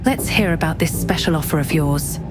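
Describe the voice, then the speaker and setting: An actress playing a blunt, self-assured adventurer heroine in a fantasy game. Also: female voice, low-pitched, moderate pace, over loud music, close to an everyday voice. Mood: cool, direct.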